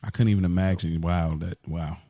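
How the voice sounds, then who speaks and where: A man in casual podcast conversation, talking in a halting way and often repeating himself. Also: low voice, steady tone, dark tone, dry recording, lo-fi sound. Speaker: podcast speaker. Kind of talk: casual chat.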